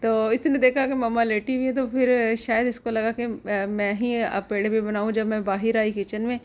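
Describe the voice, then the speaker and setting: A woman's voice: on the high side, little pitch movement, normal speed. Middle-aged pakistani mom vlogging from her kitchen, sore throat, chatty and homely, talking to her viewers. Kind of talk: casual home vlog narration. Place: home kitchen.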